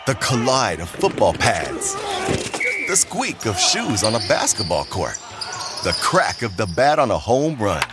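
A man reading a radio ad voice-over in a slow, savoring way. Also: on the low side, very animated, heavy background noise. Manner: slow, savoring, wide-ranging. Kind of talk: ad read. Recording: loud sound effects behind.

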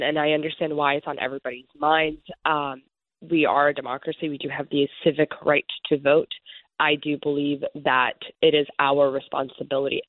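A woman from Somalia, a congressional candidate, giving earnest, measured answers as an interview guest over the phone. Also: low voice, no echo. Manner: earnest, measured, political talking points. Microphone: phone call. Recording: tinny phone line, dry.

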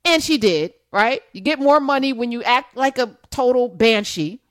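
Woman host, emphatic and animated, higher voice, dead-dry recording. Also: moderate pace, dry recording. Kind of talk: casual political chat.

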